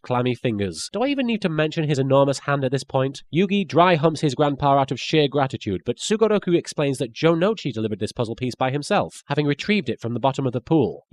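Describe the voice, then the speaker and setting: Sarcastic man narrating, pitch swinging widely. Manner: sarcastic, mock-dramatic asides. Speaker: reviewer. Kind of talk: scripted comedic review narration.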